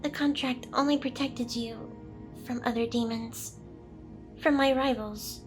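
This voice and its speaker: High-voiced, seductive demon boss played by a woman. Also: steady tone, a little slow, over music, close to an everyday voice, low-quality recording. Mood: intense, vulnerable. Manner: teasing, theatrical, dramatic delivery. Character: smug, seductive, possessive female demon boss. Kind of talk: ASMR roleplay monologue.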